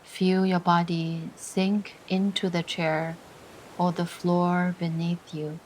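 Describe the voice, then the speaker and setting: A woman leading a scripted guided meditation in a soothing, deliberate way, pausing between short phrases. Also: on the low side, flat delivery, darkish tone, slight background noise, rough recording. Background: music.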